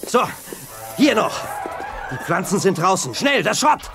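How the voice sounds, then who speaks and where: An actor playing a brave, reassuring young hero in a children's sci-fi radio play, earnest and decisive. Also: male voice, high-pitched, big pitch swings, a little slow, loud music behind the voice, exaggerated voice. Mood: urgent.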